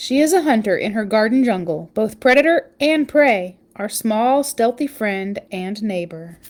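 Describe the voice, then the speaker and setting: A woman reading a children's picture book aloud, with expressive storytelling emphasis and chatty asides. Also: mid-range voice, unhurried. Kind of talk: animated read-aloud storytelling with casual asides.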